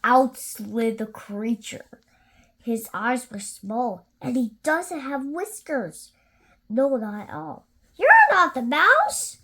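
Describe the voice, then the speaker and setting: Very slow, high-pitched woman storyteller. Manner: deliberate, rhythmic phrasing. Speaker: children's story reader. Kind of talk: expressive picture-book read-aloud.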